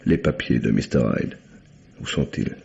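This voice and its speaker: Very deep-voiced, suave, sly gentleman. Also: expressive, unhurried, gravelly, slightly rough, heavily acted. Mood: sly, coaxing. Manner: urbane, hesitant, drawling politeness with wry irony. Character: suave, sly, mocking young English gentleman suspect. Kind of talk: vintage French radio mystery acting. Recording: dull, muffled old radio recording.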